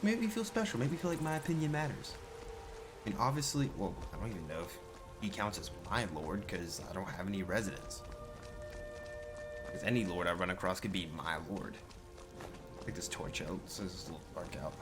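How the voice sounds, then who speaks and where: A low male voice of a player acting as a mild, grumbling fantasy game adventurer. He thinks aloud in a hesitant, conversational mutter, with music clearly behind him. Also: fairly quick, lightly acted. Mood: mildly aggrieved. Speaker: role-playing game player. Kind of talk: in-character improvised gameplay narration.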